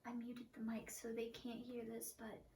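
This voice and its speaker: A woman's voice: on the high side, completely flat delivery, normal speed, creaky, warm tone, recorded from a distance, a little hiss or hum. Young woman musician guest, casual and self-deprecating, recorded off-mic in an echoey room. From Portland, Oregon. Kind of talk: casual chat.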